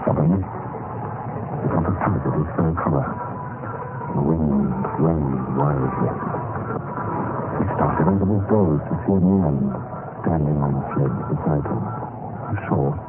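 An actor playing a dead Russian clerk who gravely narrates his own story in an old radio drama, measured and theatrical. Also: extremely low pitch. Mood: mournful, grave. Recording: muffled old radio recording with music. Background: music.

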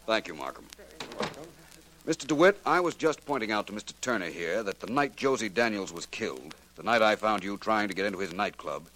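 Low-voiced, commanding American radio detective. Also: male voice, lively intonation, fairly bright tone, poor audio. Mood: probing, confident. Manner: formal, deliberate, authoritative. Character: shrewd, confident male private detective. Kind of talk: 1940s radio acting.

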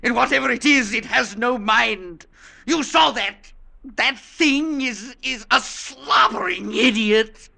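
A man playing a tormented, cynical professor in an old radio drama, with a tense, bitter, dramatic delivery and a creaky edge. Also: very high-pitched, slow pace, pushed and bright, slight background noise, heavily acted. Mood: anguished disgust. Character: tormented, cynical professor haunted by an unseen clinging presence. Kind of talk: 1940s-50s radio drama acting. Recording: vintage radio broadcast sound.

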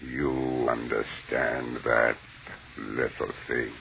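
An actor playing an ancient, contemptuous alien mind in a 1930s radio horror play, speaking with pompous menace. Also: male voice, a bass voice, level tone, vocal fry, narrow, tinny audio, full character voice. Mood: menacing. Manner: slow, pompous, menacing declamation. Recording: noisy, band-limited 1930s radio recording.

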